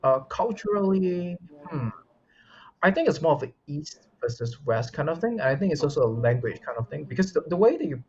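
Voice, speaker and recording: A man's voice: fairly high pitch, big pitch swings, a little fast, a little mellow, lo-fi sound. A man who coaches esports, answering interview questions at length in an articulate, explanatory way, often restarting phrases. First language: English.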